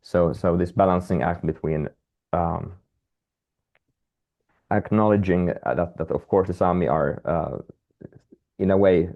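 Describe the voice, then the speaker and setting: A man's voice: low voice, measured pace, pauses often, lo-fi sound. A Finnish-speaking man, a guest researcher, talking hesitantly with many restarts and fillers on a video call. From Finland. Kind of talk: webinar conversation.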